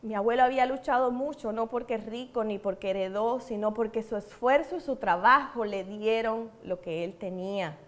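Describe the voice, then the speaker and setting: A woman's voice: on the high side, normal speed, few pauses. A woman with a Cuban accent giving a church teaching, telling personal testimonies earnestly and repeating words for emphasis. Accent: Cuban Spanish. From Cuba. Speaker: preacher.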